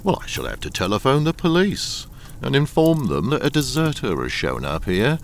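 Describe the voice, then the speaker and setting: An actor playing a suave smuggling boss in a radio crime drama, smooth, patronizing and politely threatening. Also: male voice, mid-range voice, wildly swooping pitch, moderate pace, a little hiss or hum. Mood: menacing. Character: suave menacing smuggling boss, coolly blackmailing a deserter.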